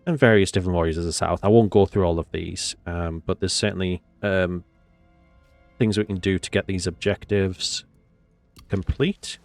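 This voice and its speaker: A man's voice: low voice, steady tone, moderate pace, vocal fry, no echo, faint music behind. A man with a cold and a nasal voice, giving casual gameplay commentary in a rambling, hesitant way. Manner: rambling, hesitant with many fillers. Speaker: game commentator.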